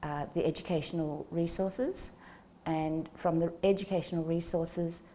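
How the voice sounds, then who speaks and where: A woman in her sixties, a guest in an interview, explaining things in a slow, measured way on a lapel mic in a small studio. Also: fairly low pitch, fairly even intonation, warm tone, slight background noise. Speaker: guest, educator. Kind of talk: interview answers. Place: small studio set with plants.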